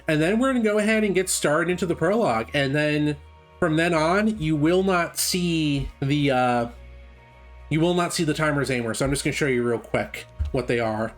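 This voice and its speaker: A man's voice: on the high side, normal speed, soft background music. A man giving casual, chatty live commentary on a game speedrun, explaining as he goes. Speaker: gameplay commentator.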